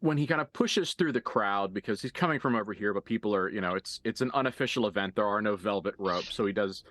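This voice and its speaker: A man working as game master, improvising narration for a tabletop roleplaying game with deliberate, halting phrasing and dramatic emphasis. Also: on the low side, a bit bright, dry recording. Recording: dry voice over background music.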